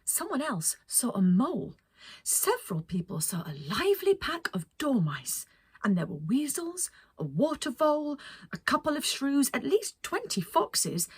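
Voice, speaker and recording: A woman reading a children's story as a storyteller, expressive, with dramatic pauses. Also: fairly high pitch, husky, no echo. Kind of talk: scripted children's story narration.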